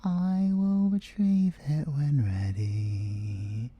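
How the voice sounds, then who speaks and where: A British man voicing a possessive, gloating male siren in an ASMR audio roleplay, theatrical and teasing, with a breathy voice that slips into whispers. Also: a little high, fairly even intonation, no room sound, lo-fi sound, heavily acted. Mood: smug, teasing. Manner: theatrical, teasing, slow and deliberate, slipping into whispers.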